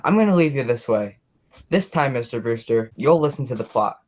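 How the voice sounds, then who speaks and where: A man's voice: medium pitch, expressive, a little fast, darkish tone, close to an everyday voice. A young man playing a chatty, oblivious policeman who dreams of writing plays, in a radio drama. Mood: eager, self-absorbed. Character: chatty, oblivious policeman and eager amateur playwright. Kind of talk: radio play acting.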